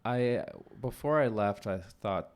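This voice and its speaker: A man's voice: on the low side, a little slow, a little mellow, clear, resonant tone. A young American man, a podcast host chatting casually and musing aloud, often trailing off mid-thought. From United States. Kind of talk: casual conversation.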